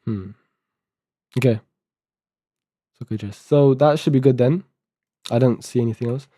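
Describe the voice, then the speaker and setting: A man's voice: mid-range voice, normal speed, dark tone, no room sound, studio quality. Eighteen-year-old man mumbling through code. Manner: mumbling, thinking aloud, reading docs half to himself. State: sore throat. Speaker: live-coding streamer. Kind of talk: casual live-stream talk while coding.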